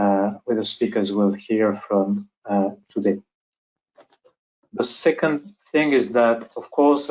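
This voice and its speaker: A man with a French accent presenting a business webinar as moderator, speaking haltingly with repeated words, on dull webinar audio. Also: on the low side, darkish tone. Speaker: webinar moderator and company executive.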